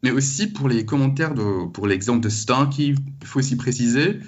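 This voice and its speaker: A man's voice: medium pitch, lively intonation, normal speed. A man giving academic interview answers, hesitantly rephrasing as he goes, on slightly dull audio. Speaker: university lecturer interviewee.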